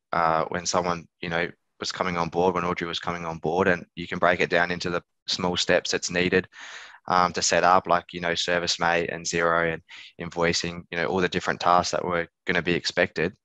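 Bright, forceful low-voiced man, halting. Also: no echo. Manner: halting, thinking aloud. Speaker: small business owner, interview guest. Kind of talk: casual interview answers. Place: small office on a video call. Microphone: headset. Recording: slightly dull video-call audio.

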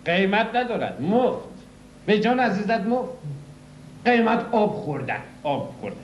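An actor playing a boastful, pompous used-car dealer who swings between loud and hushed delivery, in a comedy sketch. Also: male voice, sits high, big pitch swings, slight background noise. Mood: scheming. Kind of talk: old comedy sketch acting. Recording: dull lo-fi old recording, echoey.

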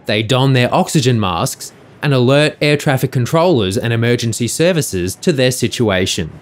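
A man's voice: medium pitch, expressive, moderate pace. A man reading scripted documentary narration.

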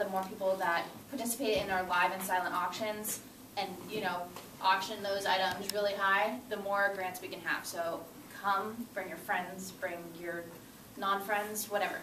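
A young woman in her twenties presents to classmates in a lecture room with a chatty, informal, upbeat manner. Her voice sounds roomy and far from the mic, with room noise clearly underneath. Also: mid-range voice. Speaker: student group co-president. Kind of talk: casual presentation. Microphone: far camera.

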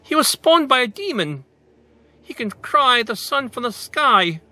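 A man's voice: extremely high pitch, big pitch swings, a little slow, bright, forceful tone, faint music behind, pitched above the performer's own voice, full character voice. A man voicing a small, timid village boy in a storybook, pleading and deferential. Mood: fearful.

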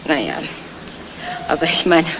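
An actress playing a nosy gossip in a radio crime drama, rambling and insinuating, with constant tag questions. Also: female voice, low voice, very animated, a little slow, full character voice. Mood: gossipy, insinuating. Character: gossipy, nosy local woman, feigning discretion. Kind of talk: 1970s German radio crime drama acting. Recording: noisy old radio play recording.

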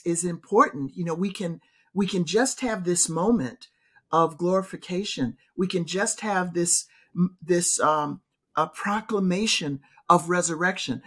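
A woman's voice: on the low side, a bit bright, slightly rough. A middle-aged woman, a professor, speaking emphatically and in a preacherly way in a casual theological discussion, recorded over a video call from a home office. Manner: emphatic, preacherly, gestures while talking. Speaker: professor and podcast co-host. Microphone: video call webcam.

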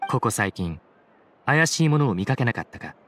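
A man playing a solemn, dignified chief judge in a Japanese game dub, speaking in formal, measured, stiffly courteous phrases. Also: medium pitch, sing-song, noisy background, close to an everyday voice. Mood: calm, serious. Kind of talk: Japanese anime game voice acting. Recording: music behind the voice. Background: game background music.

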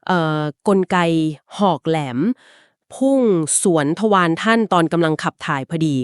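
A woman co-hosting a podcast, retelling an old legend conversationally and explaining it at length, with polite particles and short filler pauses. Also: on the low side, clean tone, dry recording, clean studio sound. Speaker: podcast co-host. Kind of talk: conversational storytelling of a folk legend.

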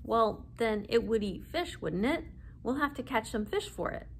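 A woman's voice: fairly high pitch, expressive, normal speed, slight background noise. A woman voicing an earnest, urgent eight-year-old big sister in a storybook. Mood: puzzled.

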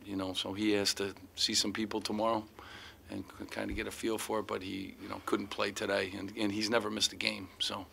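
A man's voice: fairly low pitch, little pitch movement, a little fast, vocal fry, pushed and bright, a little hiss or hum. A middle-aged man, a head basketball coach, answering reporters' questions after a game, rambling with many "you know" fillers and restarts. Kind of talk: postgame press conference answers. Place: press room in front of sponsor backdrop. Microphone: table mic in front of him.

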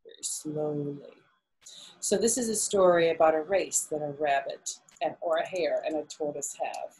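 A woman's voice: low voice, moderate pace, a little mellow, low-quality recording. Middle-aged woman hosting children's storytime. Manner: simple explanations with questions to young listeners. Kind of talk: reading and explaining a fable to children. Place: indoors, small living room on a sofa.

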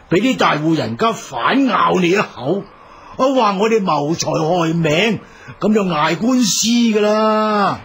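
An actor playing an anxious, chatty travelling companion in a radio drama, with colloquial Hong Kong Cantonese speech full of exclamations. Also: male voice, sits high, slight background noise, full character voice.

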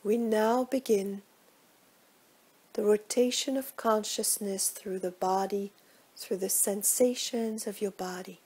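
A woman guiding a relaxation meditation in a soft, breathy voice, with measured, hushed delivery and gentle phrase endings. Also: medium pitch, steady tone. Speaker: yoga nidra meditation guide. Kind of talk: guided relaxation meditation, scripted instruction.

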